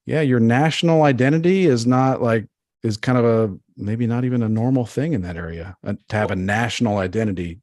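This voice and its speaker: A man's voice: mid-range voice, steady tone, fairly quick, darkish tone, no room sound, studio quality. A man co-hosting a podcast, rambling in casual chat full of fillers. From United States. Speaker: podcast co-host.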